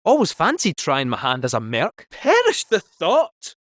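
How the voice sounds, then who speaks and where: Casting: small talking robot cat, Scottish accent, jaunty and teasing, high voice, hugely animated game dialogue. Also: male voice, a little slow, no room sound, clean studio sound, heavily acted. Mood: chatty. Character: small cheeky talking robot cat, male, chatty and theatrical.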